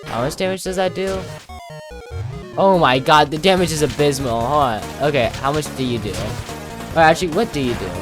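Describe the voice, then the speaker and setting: Female gaming creator, low voice, casual and jokey, given to mock-dramatic asides, clear music underneath. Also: a little fast. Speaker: gaming video creator commenting on gameplay. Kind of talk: casual, playful gameplay commentary.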